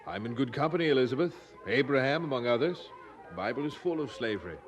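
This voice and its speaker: An actor playing a zealous, earnest English preacher and husband in a radio drama, speaking with preacherly conviction. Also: male voice, medium pitch, expressive, fast talker, lo-fi sound, natural voice. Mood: persuasive.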